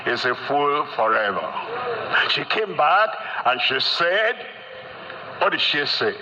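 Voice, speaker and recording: An older man delivering an emphatic sermon, speaking deliberately and repeating phrases for emphasis. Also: fairly high pitch, sing-song, dull audio, heavy background noise. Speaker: preacher.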